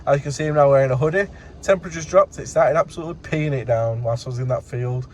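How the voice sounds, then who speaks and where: A man's voice: mid-range voice, fast, darkish tone, slight background noise. A man giving a casual, rambling vlog update as a courier driver, in colloquial everyday speech.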